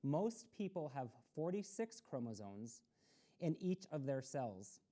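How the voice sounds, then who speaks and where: A woman with a low, soft-toned voice hosts a read-aloud for children. Her intonation moves up and down in a very lively way. She uses simple, instructive phrasing and puts questions to her young listeners. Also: slow pace. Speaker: story time host reading a picture book to children. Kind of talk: read-aloud storytime with explanatory asides.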